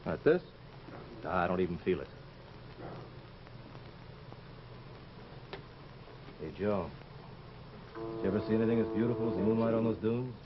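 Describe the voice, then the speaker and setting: An actor playing a flippant, curious young artist type with a breezy, musing manner in an old-time radio drama. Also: male voice, lowish voice, quick pace, lightly acted. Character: flippant, curious male artist, unbothered by danger. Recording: muffled, noisy old radio recording.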